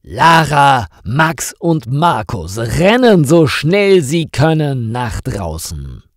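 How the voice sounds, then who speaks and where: A man narrating a scripted story for language learners, reading slowly and expressively with dramatic rises. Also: medium pitch, big pitch swings, dry recording, studio quality.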